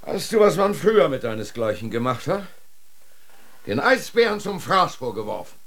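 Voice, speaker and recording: An actor playing a stern, sarcastic polar expedition commander in a radio drama, with a rough, commanding voice. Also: male voice, on the high side, sing-song, normal speed, a bit bright, heavily acted. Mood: scornful.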